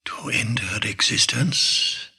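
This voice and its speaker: Imperious welsh alien god, sci-fi tv, solemn, real slow n sharp. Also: male voice, on the low side, creaky, slightly rough, exaggerated voice. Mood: solemn menace. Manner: solemn, deliberate. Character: grave, imperious male alien godlike builder. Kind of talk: science fiction TV drama.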